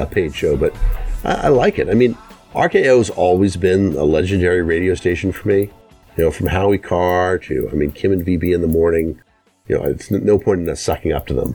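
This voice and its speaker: Talk radio host: man with a deep register, opinionated delivery, leans on rhetorical questions. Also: a little mellow.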